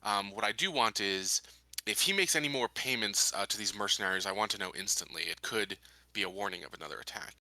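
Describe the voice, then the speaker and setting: A man playing a calculating colony leader in a tabletop game, speaking in a deliberate, formal, halting way. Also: on the low side, sharp tone, dry recording, rough recording. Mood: cautious, firm. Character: measured, calculating male colony leader giving orders.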